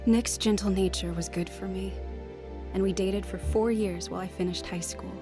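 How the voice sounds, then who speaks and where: An actress playing an anxious, earnest young wife terrified of death, in a radio drama. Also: female voice, medium pitch, steady tone, moderate pace, over loud music, close to an everyday voice. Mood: brooding. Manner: earnest, fretful. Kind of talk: radio drama acting and narration.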